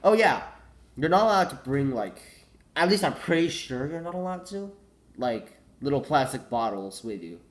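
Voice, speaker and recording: A man's voice: mid-range voice, sing-song, normal speed. A teenage boy with a cold, congested and coughing, rambling casually and correcting himself, saying "like" a lot. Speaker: vlogger.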